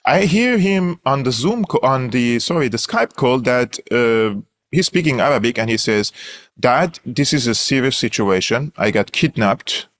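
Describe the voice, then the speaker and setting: A man's voice: mid-range voice, lively intonation, unhurried. A young man from Syria with a Syrian Armenian accent, a guest analyst telling his story earnestly in an interview, with many fillers and restarts.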